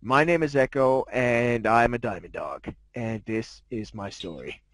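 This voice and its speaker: A man's voice: mid-range voice, measured pace, fairly bright tone, no echo, pitched below the performer's own voice, natural voice. A man voicing a snobby, sardonic diamond dog who narrates his life story in a haughty, jaded, wry manner. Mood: grim, sardonic. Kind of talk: dramatic fan fiction narration.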